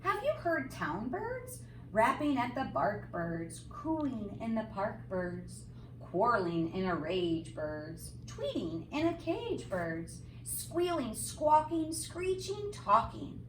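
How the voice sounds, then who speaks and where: A woman reading a picture book aloud to young children like a teacher, with expressive, sing-song, emphatic phrasing and simple explanatory asides. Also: a little high, takes it slowly. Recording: roomy, distant mic, faint background noise.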